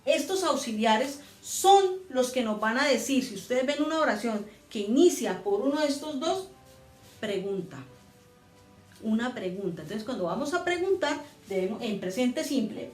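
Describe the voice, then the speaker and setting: Female teacher, fairly high voice, patient step-by-step explainer, roomy echo, soft music behind. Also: lively intonation, moderate pace. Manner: patient, repeats and spells out steps. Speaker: English teacher. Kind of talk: grammar lesson explanation.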